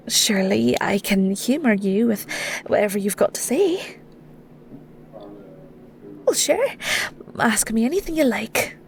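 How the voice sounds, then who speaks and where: A woman's voice: medium pitch, vocal fry, slight background noise. A woman with a Scottish accent playing a shy, sweet young shepherdess in an audio roleplay, stammering and rambling with nervous giggles. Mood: bashful, earnest. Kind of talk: audio roleplay acting, intimate.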